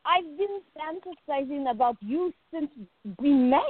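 High-voiced, unhurried young woman, acted by a woman. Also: no echo, phone-quality sound. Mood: teasing, ardent. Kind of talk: radio play acting.

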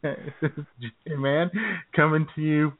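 A man's voice: fairly high pitch, little pitch movement, slow, background noise. An American man co-hosting a radio show, chatting casually with joking banter. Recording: tinny band-limited remote audio.

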